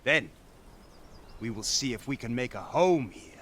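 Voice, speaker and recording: Lively, bold Viking warrior leader. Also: male voice, mid-range voice, measured pace, a little hiss or hum. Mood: resolute. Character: bold young male Viking warrior leader, wry but honorable. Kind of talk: dramatic video game acting.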